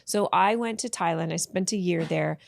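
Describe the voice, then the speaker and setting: A woman's voice: fairly low pitch, moderate pace, creaky, slight background noise. A woman guest telling her life story in a candid, reflective way, often restarting sentences. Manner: frank, reflective, with restarts and soft asides. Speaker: podcast guest, tantra teacher.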